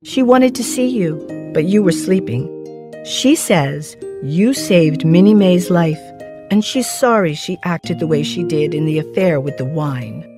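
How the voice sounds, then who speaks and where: A woman voicing a stern but indulgent older guardian, measured and matter-of-fact, in an animated children's story. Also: on the low side, over music.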